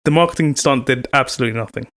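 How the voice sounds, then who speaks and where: A man co-hosting a podcast in casual chat, teasing and animated when he tells a story. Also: mid-range voice, rapid-fire. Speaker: podcast co-host.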